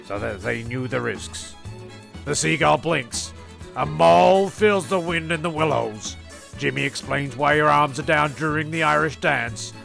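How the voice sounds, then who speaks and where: A man hosting and narrating an improvised comedy, halting and rambling as he goes. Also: a little high, vocal fry, a bit bright, faint music behind. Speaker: host and narrator.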